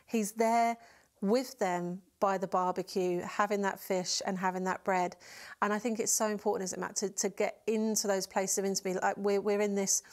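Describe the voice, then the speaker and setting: A woman's voice: medium pitch, flat delivery, normal speed. Middle-aged woman hosting a faith show. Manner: warm, affirming, asks tag questions. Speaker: TV show host. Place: TV studio set.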